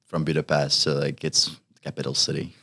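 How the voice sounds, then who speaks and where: A man's voice: a bass voice, monotone, brisk, gravelly, bright, forceful tone. A young man in his twenties from Hungary with a Hungarian accent, a college fencer talking as a guest, hesitant with many fillers and restarts. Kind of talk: casual interview chat.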